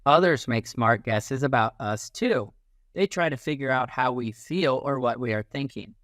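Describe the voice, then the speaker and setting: A man reading a children's picture book aloud as a teacher, in an expressive, instructive manner. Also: medium pitch, moderate pace, dry recording, studio quality. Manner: animated, instructive, acts out little sounds and quotes.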